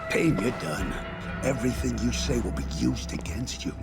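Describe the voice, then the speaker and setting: A man acts as a grim, accusing police interrogator in a noir game. His delivery is dramatic, with big pitch swings. Music plays clearly behind him. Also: expressive, normal speed, close to an everyday voice. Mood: condemning. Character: grim, condemning male police interrogator in a nightmare.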